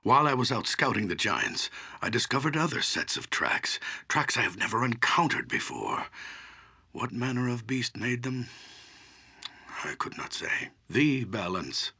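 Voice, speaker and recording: An actor playing a wise, grave old archdruid in a fantasy game, speaking in a measured, solemn, husky voice. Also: male voice, medium pitch, very animated, bright, forceful tone, full character voice. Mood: wary concern, then reverent. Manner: measured, solemn, formal storyteller delivery. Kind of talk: scripted fantasy game character dialogue.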